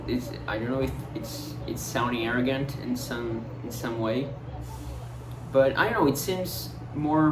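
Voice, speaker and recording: A young man with a Brazilian Portuguese accent, a native Portuguese speaker musing casually to camera, hesitant and full of fillers and restarts. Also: mid-range voice. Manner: hesitant, many fillers and restarts, searching for words. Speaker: vlogger. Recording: roomy echo, distant mic, noticeable noise.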